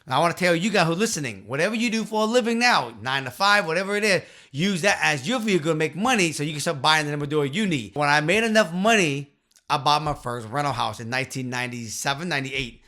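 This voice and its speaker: A man in his fifties telling his story to the camera in a small home office, earnest and emphatic, into a boom-arm studio mic. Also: expressive, quick pace, pushed and bright. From Vietnam. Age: 50s. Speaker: real estate investor and channel host.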